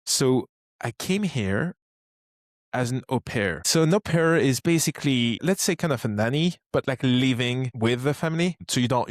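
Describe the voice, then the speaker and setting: A man in his thirties with a French accent, speaking English as a second language, chatting as an interview guest. He talks in a relaxed way, thinking aloud with frequent restarts. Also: mid-range voice, dry recording, studio quality. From France. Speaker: guest, startup co-founder. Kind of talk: casual interview conversation.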